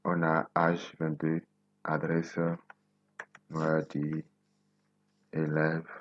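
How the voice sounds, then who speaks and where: A man in his twenties from Benin, whose first language is Nago, hosting a coding tutorial and thinking aloud slowly as he types, repeating words to himself. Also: extremely low pitch, monotone, no room sound, lo-fi sound. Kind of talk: casual screen-recorded coding tutorial.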